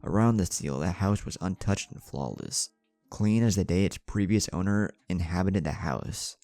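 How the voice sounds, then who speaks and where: A man's voice: deep voice, lively intonation, normal speed, mellow, no room sound. A man reads a dramatic scripted story as its narrator, with music behind him. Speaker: fan fiction narrator.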